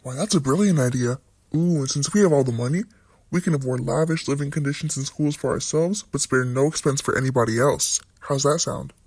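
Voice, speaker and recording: A man's voice: medium pitch, fairly quick, darkish tone, dry recording, close to an everyday voice. A man voicing a greedy, scheming political leader puppet in an amateur puppet show, with theatrical mock enthusiasm. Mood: gleeful, greedy.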